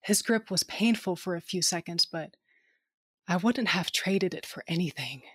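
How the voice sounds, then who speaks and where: A woman's voice: lowish voice, animated, moderate pace, husky, no echo. A woman narrating and acting a space adventure audio drama in the first person, with a dry, wry and determined manner. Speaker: narrator and lead character.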